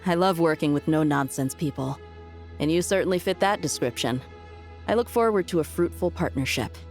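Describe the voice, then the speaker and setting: An actress playing a friendly, no-nonsense adventurer in a video game. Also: female voice, low-pitched, fairly quick, darkish tone, soft background music. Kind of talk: video game character acting.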